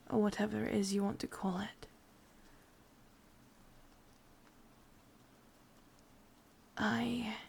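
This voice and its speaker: A woman with an English accent playing a sadistic, brooding witch in a breathy, mostly whispered ASMR roleplay. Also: medium pitch, full character voice. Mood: dark, confiding. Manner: slow, hushed, deliberate. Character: sadistic, brooding female witch, bitter and obsessive.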